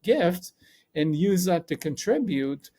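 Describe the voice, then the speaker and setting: A middle-aged man speaks. His voice sits a little high and carries a gravelly edge. He talks in a reflective, searching way, circling back and correcting himself as he goes. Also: big pitch swings, takes it slowly, warm tone. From Israel. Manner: thoughtful, rambling with self-corrections. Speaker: podcast guest, psychologist and coach. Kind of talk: reflective conversational interview.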